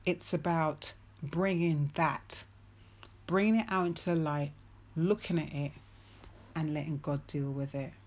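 A woman's voice: low-pitched, steady tone, unhurried. A woman giving a faith-based motivational talk, speaking earnestly and emphatically and repeating key phrases for weight.

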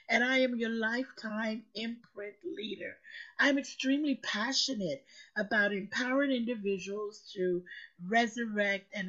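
A woman with a hoarse voice, still getting it back, preaches in a slow, deliberate way. She leans on her points and repeats them for weight. The recording sounds muffled and lo-fi. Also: on the high side, fairly bright tone. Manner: preachy, emphatic, repeats phrases for effect. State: hoarse, recovering lost voice. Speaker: motivational show host. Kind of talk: slow motivational talk.

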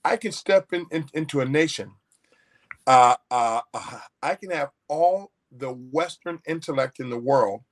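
A man's voice: mid-range voice, unhurried. A man, an author guest, telling a personal story in a folksy, anecdotal way with many restarts. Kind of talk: storytelling in an interview.

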